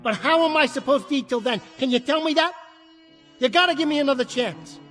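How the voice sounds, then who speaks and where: High-voiced, hot-tempered little jockey. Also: male voice, fairly quick, fairly bright tone, soft background music. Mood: indignant pleading. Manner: streetwise, combative, slangy. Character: small, bitter, hot-tempered male horse jockey. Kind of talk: radio drama acting.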